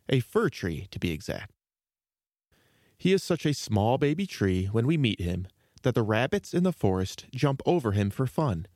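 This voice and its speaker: A man hosting a children's story podcast, reading a scripted introduction in a measured, presentational way. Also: medium pitch, a little mellow, dry recording, clean studio sound. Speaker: podcast host.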